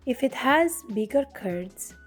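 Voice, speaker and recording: A woman's voice: high voice, animated, slow pace, faint music behind. Romanian-born woman narrating a recipe. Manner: clear step-by-step instructing. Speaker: cooking channel host. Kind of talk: scripted cooking narration.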